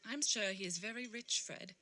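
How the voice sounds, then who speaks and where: A woman's voice: mid-range voice, moderate pace, sharp tone, close to an everyday voice. An actress playing a pert, frank young woman in an audio play. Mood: disapproving.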